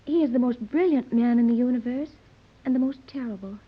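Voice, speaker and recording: A woman's voice: a little high, fairly quick, breathy edge, dull audio. A woman playing an earnest, precocious fifteen-year-old girl who speaks in formal, bookish phrases, in 1930s radio serial style. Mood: grave. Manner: formal, bookish, precise wording.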